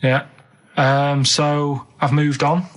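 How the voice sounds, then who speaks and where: A man's voice: fairly high pitch, flat delivery, slow. A man co-hosting a radio show in casual, rambling chat, earnestly puzzled and asking naive questions.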